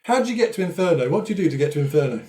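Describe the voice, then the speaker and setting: A man with a fairly high voice plays a tabletop roleplaying game. He improvises casually in character, and the sound is clearly echoey and roomy. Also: big pitch swings, very fast, warm tone.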